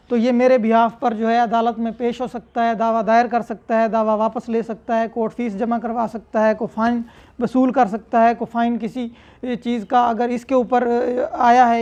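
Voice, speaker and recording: A man's voice: extremely high pitch, a little mellow. A man with a Pakistani accent giving a law lecture, explaining step by step in a didactic manner with English legal terms mixed in. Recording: dull, lo-fi lecture audio.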